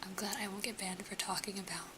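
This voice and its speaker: A woman's voice: medium pitch, steady tone, fast talker, noisy background. A young woman with an American accent whispering casually to her live stream viewers, a little sniffly, close to the mic. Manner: soft whisper throughout, chatty, laughs often. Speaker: ASMR streamer chatting with viewers.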